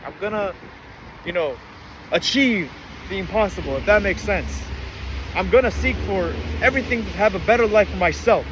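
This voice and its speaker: A nineteen-year-old man talks earnestly to the camera in a slightly high voice, rambling with lots of fillers and restarts. He is outside in the rain, and the recording is full of wind and outdoor noise. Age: late teens. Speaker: vlogger. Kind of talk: casual monologue to camera.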